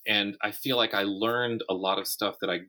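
A man's voice: fairly low pitch, steady tone, normal speed, pushed and bright. A man talking casually as an interview guest, a writer who tells his stories by acting out imagined remarks. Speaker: guest author and playwright. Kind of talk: casual interview chat about writing.

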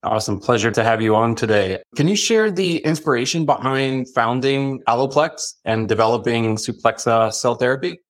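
A man's voice: mid-range voice, animated, moderate pace, hardly any pauses. A man hosting an interview podcast, putting prepared questions to a guest. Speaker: podcast guest host, industry executive.

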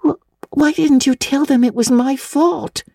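Storybook orphan girl: high, soft-edged, impulsive, dramatic, earnest and breathless. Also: a little slow, vocal fry, no room sound, clean studio sound. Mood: anxious, resolute. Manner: breathless, theatrical, pleading delivery. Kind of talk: dramatic audiobook reading of classic novel.

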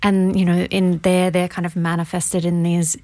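A woman's voice: lowish voice, level tone, moderate pace, a little mellow, no room sound. A woman hosting a podcast, chatting casually as she interviews an author.

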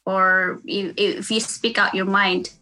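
Bright-voiced Filipino-accented woman. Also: medium pitch, flat delivery, slow pace, rough recording. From Philippines. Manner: earnest, with frequent restarts and laughs. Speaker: nurse. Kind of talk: casual interview.

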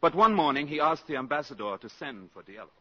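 An actor narrating a wartime spy drama in a formal, measured 1950s radio style. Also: male voice, a little high, lively intonation, husky. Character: grave storyteller of a wartime spy tale. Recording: dull 1950s radio broadcast.

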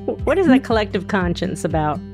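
A woman's voice: mid-range voice, sing-song, fairly quick, music in the background. A woman from New Hampshire hosting a podcast, asking friendly, curious questions. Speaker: podcast host. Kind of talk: casual interview chat.

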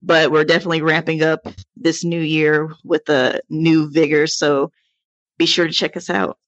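A woman's voice: low-pitched, level tone, normal speed, no echo, muffled recording. A woman in her thirties, a podcast guest, speaking in a friendly way as she points listeners to her own podcast. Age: 30s. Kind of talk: casual interview answers.

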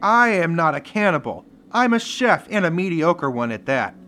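An actor playing a nervous, defensive cook at a lodge in an old-time radio murder mystery, anxious and protesting. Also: male voice, a little high, sing-song, normal speed, bright, forceful tone. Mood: indignant.

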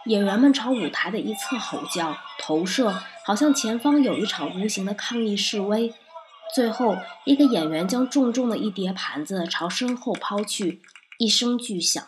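A woman's voice: mid-range voice, lively intonation, moderate pace, noisy background. A woman narrating a scripted first-person review as a podcast reviewer.

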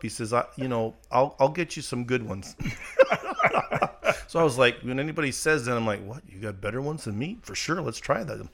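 A man co-hosting a casual ministry podcast, joking and chatty as he introduces a guest. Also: medium pitch. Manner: joking, chatty, laughs often. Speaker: podcast co-host. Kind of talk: casual chat.

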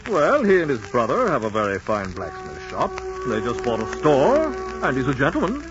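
An old muffled radio recording with loud music behind. a skeptical society gentleman, dismissive, with a very lively, fairly high voice. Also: normal speed. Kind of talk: 1940s radio drama acting.

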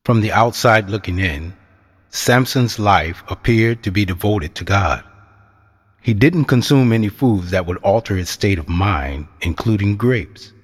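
A man giving measured, rhetorical narration for a scripted audio drama, as a reflective storyteller. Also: fairly low pitch, slightly rough.

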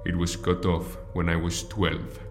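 An actor playing a theatrical, self-important male guerrilla leader in an audio drama, making grandiose, deliberate pronouncements. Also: low-pitched, completely flat delivery. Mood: proud, commanding. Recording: echoey room with music behind.

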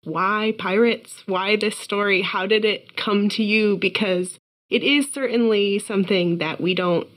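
A woman's voice: medium pitch, a little slow, poor audio. A woman hosting a book club interview, asking the author questions with enthusiastic praise for the novel. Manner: enthusiastic, admiring.

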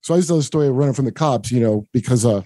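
A man's voice: medium pitch, very fast, warm tone, no room sound. A man hosting a comedy podcast, chatting and joking casually with his guest.

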